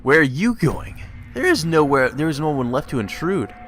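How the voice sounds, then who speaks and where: A man's voice: on the high side, sing-song, normal speed, fairly bright tone, slight background noise, pitched above the performer's own voice. A man voicing an arrogant, contemptuous king in a visual novel, speaking in a haughty, stately, condescending way. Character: arrogant, contemptuous male king who threatens and demands. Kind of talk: visual novel dialogue read aloud. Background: game music.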